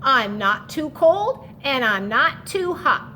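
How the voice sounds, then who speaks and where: Woman playing a high-pitched, sneezy storybook snowman. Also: big pitch swings, slow, pushed and bright, a little hiss or hum, exaggerated voice. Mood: pleased, dramatic. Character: chilly, cheerful, sneezy male snowman.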